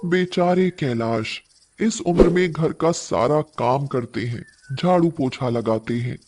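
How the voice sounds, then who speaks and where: A man's voice: mid-range voice, a little mellow. A man narrating a scripted moral story as its storyteller.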